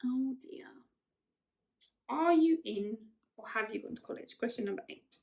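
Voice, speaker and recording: A woman's voice: a little high, expressive, normal speed, gravelly, a little mellow, slightly rough, highs cut off. A woman in her twenties, chatting casually and rambling through get-to-know-me questions, often correcting herself. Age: 20s. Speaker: vlogger.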